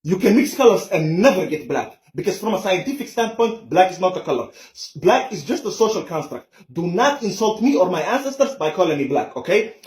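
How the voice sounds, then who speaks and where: High-voiced man lecturing insistently. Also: big pitch swings, brisk, hardly any pauses, a little mellow, roomy sound. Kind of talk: opinion monologue to camera.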